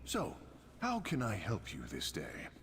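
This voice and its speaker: An actor voicing a suave, roguish reptilian smuggler merchant in a video game, speaking with flowery, wry courtesy. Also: male voice, fairly low pitch, huge pitch swings, measured pace, fairly bright tone, breathy edge, in a large hall, exaggerated voice. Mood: suave, welcoming. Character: suave, roguish male Argonian lizard-man smuggler merchant. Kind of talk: video game character dialogue.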